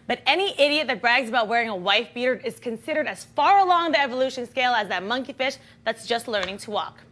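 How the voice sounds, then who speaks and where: A woman's voice: fairly high pitch, animated, fast talker, fairly bright tone. A woman hosting an online entertainment and sports news show, reading scripted items in a brash, sarcastic, slangy manner.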